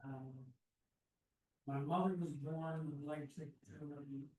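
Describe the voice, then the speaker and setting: A man slowly introducing himself and his parents' origins, halting with many fillers, recorded from far off in an echoey room. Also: on the high side, fairly even intonation, warm tone, slight background noise. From United States. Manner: slow, halting with many ums. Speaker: class participant. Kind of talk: casual self-introduction.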